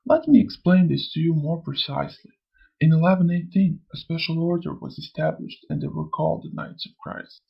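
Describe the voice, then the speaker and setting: A man voicing a sly, amused old spirit in a motion comic, lecturing theatrically in a gravelly, husky voice. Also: a little high, fairly quick, muffled recording. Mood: storytelling, measured. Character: sly, amused old male spirit who preaches and taunts. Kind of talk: scripted motion comic dialogue.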